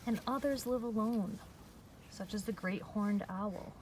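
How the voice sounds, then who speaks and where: Slow-paced woman reading aloud to children. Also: medium pitch. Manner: explanatory, measured, pausing between phrases. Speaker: teacher reading a picture book aloud. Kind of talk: read-aloud lesson for young children. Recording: distant mic with faint background noise.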